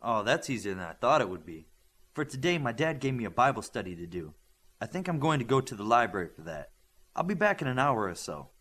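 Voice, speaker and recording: A man's voice: medium pitch, big pitch swings, brisk, fairly bright tone. Male reader as wry young hero, American boy, audiobook dialogue, dry and casual. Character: laid-back, wry American boy superhero.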